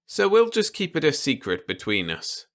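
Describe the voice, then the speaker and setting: A gentle old grandfather from a storybook, acted by a man. He speaks protectively and patiently, explaining things in simple words to a small child. The voice has a forward, bright edge. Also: on the high side, wildly swooping pitch, moderate pace, voice pushed up, full character voice. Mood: gentle, reassuring. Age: older.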